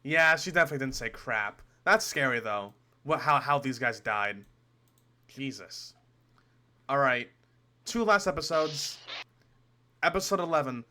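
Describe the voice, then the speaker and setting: A man's voice: mid-range voice, animated, a little slow, pauses often, bright, forceful tone. A young man giving casual reaction commentary as a streamer, joking, swearing and musing aloud.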